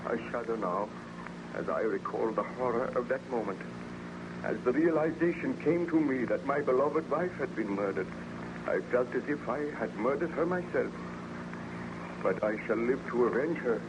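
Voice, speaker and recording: A man's voice: mid-range voice, animated, normal speed, lightly acted. Man acting tormented baron, wildly swooping voice. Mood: anguished, vengeful. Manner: theatrical, anguished, formal diction. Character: tormented, fearful baron haunted by the monster he created. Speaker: lead actor and narrator in a radio serial. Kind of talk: old-time radio melodrama acting. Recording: noisy old radio transcription.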